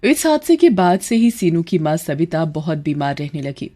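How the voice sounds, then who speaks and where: A woman's voice: low voice, sing-song, normal speed, warm tone. A woman narrating a scripted story as storyteller.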